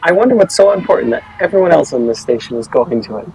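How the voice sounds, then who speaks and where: A man's voice: a little high, expressive, fast, darkish tone, a little hiss or hum, rough recording. A man playing a polite female corporate representative with forced courtesy in a tabletop game. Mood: politely pointed.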